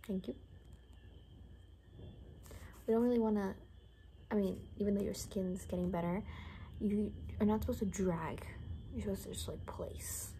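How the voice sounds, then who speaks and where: A young woman doing an ASMR roleplay in soft, half-whispered, gossipy rambling chat. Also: mid-range voice, fairly even intonation, normal speed, a little hiss or hum, poor audio. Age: young adult. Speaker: ASMR performer. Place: small bedroom, indoors.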